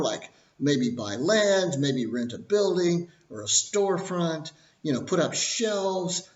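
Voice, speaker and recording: A man narrating an explainer in the style of a fairy tale, with droll asides and simple, deliberate explanations. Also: a little high. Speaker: storyteller explaining history and finance. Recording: dull, roomy, echoing sound.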